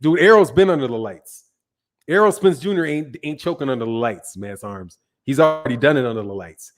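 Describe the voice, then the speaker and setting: A man's voice: mid-range voice, lively intonation, a little fast. A man hosting a casual boxing livestream, reading viewer comments and arguing in loose, slangy banter that keeps trailing off and restarting. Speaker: boxing channel host.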